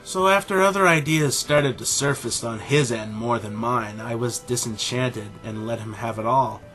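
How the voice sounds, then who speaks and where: A man playing an arrogant, condescending middle-aged animal scientist and father in a scripted audio drama, speaking in a pompous, deliberate way. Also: mid-range voice, a bit bright, soft background music, natural voice, rough recording. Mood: detached, proud.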